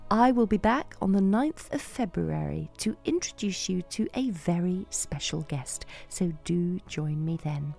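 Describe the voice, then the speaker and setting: A woman's voice: on the low side, animated, measured pace, gravelly, hardly any pauses, warm tone, soft background music. A middle-aged woman hosting a podcast, giving a scripted sign-off to listeners. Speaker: podcast host.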